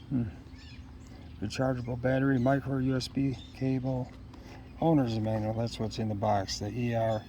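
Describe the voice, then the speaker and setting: Guy doing a casual unboxing, kinda halting and mumbling thoughts to himself, normal pitch, noticeable background noise. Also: a little mellow. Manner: halting, musing aloud to himself. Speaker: product unboxer. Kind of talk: casual unscripted unboxing narration.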